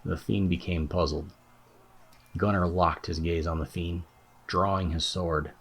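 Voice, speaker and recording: A man's voice: sits low, fairly even intonation, a little slow, fairly bright tone, slightly rough. A man giving a scripted narration of a fantasy audiobook as its narrator.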